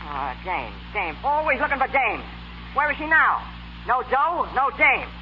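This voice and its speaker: An actor playing an exasperated, streetwise Brooklyn boxing manager with a slangy, badgering New York delivery in a 1930s radio comedy. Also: male voice, very high-pitched, sing-song, a little slow, heavily acted. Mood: bitter, scornful. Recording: muffled, noisy 1930s radio recording.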